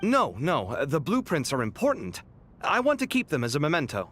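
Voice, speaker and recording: Animated, higher-pitched young male scholar. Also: a little fast, a little hiss or hum. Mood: insistent. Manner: animated, theatrical. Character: expressive young male scholar, conscientious and quick to grumble. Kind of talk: video game dialogue acting.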